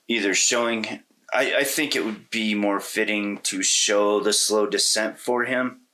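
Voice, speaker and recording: A man's voice: on the low side, pushed and bright. A man co-hosting a podcast, casually discussing a film and thinking aloud in a loose, rambling way. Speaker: podcast co-host.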